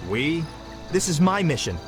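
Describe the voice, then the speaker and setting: A man's voice: fairly high pitch, very animated, moderate pace. A man playing a brooding, defensive lone wizard with curt lines in a fantasy game cutscene. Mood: indignant. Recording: voice under loud music.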